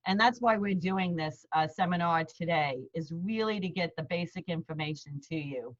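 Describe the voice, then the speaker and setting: A woman's voice: fairly low pitch, moderate pace. Middle-aged woman with a Queens accent. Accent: New York (Queens). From New York. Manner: friendly, plain-spoken, explains terms step by step. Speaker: attorney giving a seminar. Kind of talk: explanatory presentation over video call. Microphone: video call. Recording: slightly dull lo-fi video call audio.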